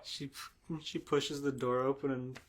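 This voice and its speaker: A man's voice: medium pitch, little pitch movement, a little slow, a little hiss or hum. A man co-hosting a podcast, making casual, sardonic joking remarks and sometimes swearing. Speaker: podcast co-host commenting on the reading. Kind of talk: casual comedic banter.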